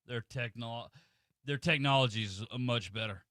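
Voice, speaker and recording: A man's voice: mid-range voice, normal speed, pushed and bright, no room sound. A man co-hosting a radio show, agreeing with the host and adding dry, wisecracking asides. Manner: dry, agreeable sidekick quips. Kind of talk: casual radio banter. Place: radio studio.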